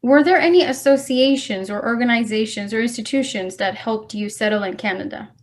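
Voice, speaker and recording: A woman interviewer with a fairly high voice. She asks her questions softly and with curiosity, keeping her turns short. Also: brisk.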